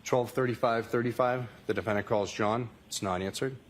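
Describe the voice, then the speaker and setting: A man's voice: mid-range voice, steady tone, brisk, slight background noise. A man testifying as a state trooper in court, reading out records in a matter-of-fact, formulaic way. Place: courtroom witness stand.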